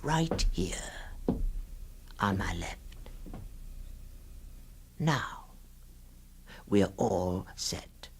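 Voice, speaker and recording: An actor playing a sly séance host introducing a radio horror anthology, hushed, sinister and teasing, with hesitant phrasing. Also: male voice, mid-range voice, exaggerated voice. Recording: 1960s radio drama with faint music.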